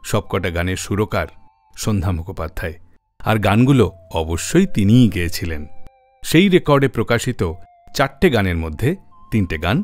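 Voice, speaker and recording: Low-pitched man hosting a radio show. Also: expressive, normal speed, faint music behind. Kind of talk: scripted radio narration, storytelling about classic songs.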